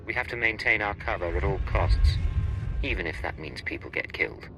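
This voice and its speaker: An actor playing a cold, ruthless undercover agent in a video game, curt and commanding. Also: male voice, deep voice, expressive, fairly quick, noisy background. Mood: cold, stern.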